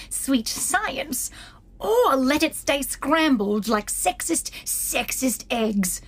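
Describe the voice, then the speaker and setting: A woman's voice: high voice, exaggerated voice. A woman playing an exuberant, theatrical starship co-captain and scientist in a sci-fi comedy audio drama, gushing and dramatic. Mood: dramatic, playful.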